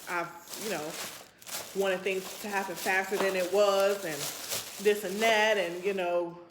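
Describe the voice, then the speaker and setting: A woman's voice: medium pitch, steady tone, measured pace, noisy background. Rambling young woman in an echoey room. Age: 20s. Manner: rambling, reflective, informal. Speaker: vlogger. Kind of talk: casual chat to camera. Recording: roomy, echoey sound.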